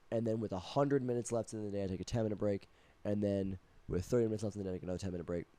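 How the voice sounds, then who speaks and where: A man's voice: lowish voice, dark tone. Stuffy young man telling a rambling story. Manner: rambling, profane, restarts often. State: stuffy, sick with a cough. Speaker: podcast co-host. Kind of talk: casual storytelling chat.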